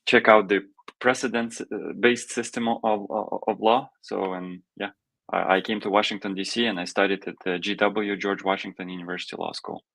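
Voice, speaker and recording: Halting Russian-born man, dry sound. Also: on the low side, fairly even intonation, creaky, fairly bright tone, no room sound. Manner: halting, many restarts and fillers. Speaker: guest, immigration lawyer and founder. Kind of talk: casual interview answers.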